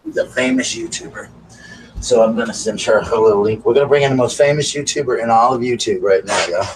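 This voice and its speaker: A raspy-voiced man with a Southern US accent chatting casually as the host of a live stream, now and then breaking off to call his dogs. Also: mid-range voice, fast. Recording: far from the mic with faint background noise.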